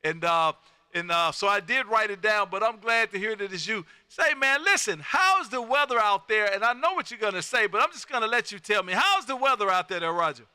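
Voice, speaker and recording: A man's voice: high-pitched, lively intonation, fairly quick, sharp tone, clear, resonant tone, dry recording. A folksy, exuberant man, chatting casually as a ham radio host who peppers his talk with "man". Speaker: amateur radio operator and live stream host.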